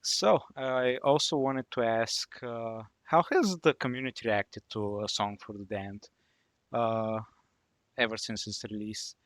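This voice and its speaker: A man's voice: mid-range voice, measured pace, dry recording, clean studio sound. Casual male podcast co-host. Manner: casual, asks questions with fillers. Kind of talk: podcast interview.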